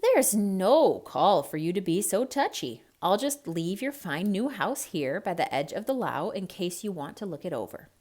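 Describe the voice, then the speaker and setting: A woman's voice: mid-range voice, very animated, moderate pace, hardly any pauses, darkish tone. A woman playing an earnest, coaxing male folk hero in a children's story, with persuasive delivery. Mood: placating.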